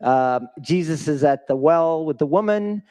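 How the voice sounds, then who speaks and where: A man's voice: fairly high pitch, animated, unhurried, darkish tone. Man giving an emphatic live speech. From a developing country. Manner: emphatic, asks the audience questions. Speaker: guest speaker at a charity fundraiser. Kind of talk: live motivational speech to an audience.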